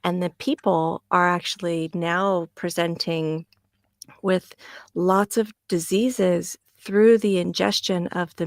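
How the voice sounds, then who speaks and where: A woman co-hosting a live stream, chatting casually in an earnest, measured way. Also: fairly low pitch, gravelly, no room sound, studio quality. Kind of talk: casual chat. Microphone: video call.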